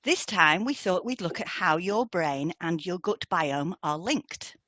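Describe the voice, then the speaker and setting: British woman, lowish voice, measured explanatory delivery, scripted podcast presenting, very dry sound. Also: animated, a bit bright, no echo. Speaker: podcast co-host and hypnotherapist.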